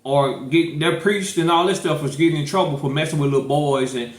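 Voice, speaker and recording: A man's voice: fairly high pitch, brisk. Rambling man in an echoey room. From St. Louis, Missouri. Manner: rambling, many fillers and restarts. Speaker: podcast host. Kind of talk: casual political chat.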